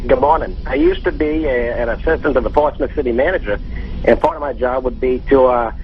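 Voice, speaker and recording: A male caller with a mid-pitched voice on a dull phone line with faint noise. He is polite and formal, setting out his question in a measured way. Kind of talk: prank call posing as a serious question.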